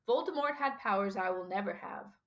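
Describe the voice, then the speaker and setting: A woman voicing a calm, gentle elderly male professor in an audiobook, with a measured and mildly whimsical manner. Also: medium pitch, natural voice. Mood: calm, reasonable. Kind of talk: audiobook character dialogue.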